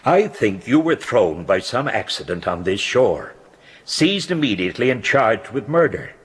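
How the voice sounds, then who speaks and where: An actor as a very husky, courteous magistrate. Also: male voice, medium pitch, big pitch swings, heavily acted. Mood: sympathetic. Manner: formal, deliberate, articulate phrasing. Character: courteous, reasonable male magistrate, formal and sympathetic. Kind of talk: radio drama acting.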